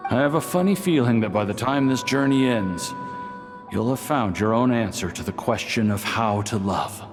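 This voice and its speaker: A man voices a kindly, reflective game companion at a medium pitch. His wry, hopeful words trail in long reverb over faint music. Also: animated, moderate pace, natural voice. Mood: gentle reassurance. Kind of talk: video game character dialogue.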